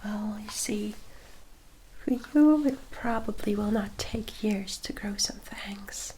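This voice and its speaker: A woman playing a sweet, dutiful young vampire sister in a whispered ASMR roleplay, speaking softly, tenderly and a little hesitantly. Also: mid-range voice, slight background noise, close to an everyday voice, rough recording. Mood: wistful, gentle. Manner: soft, hesitant, tender, unhurried.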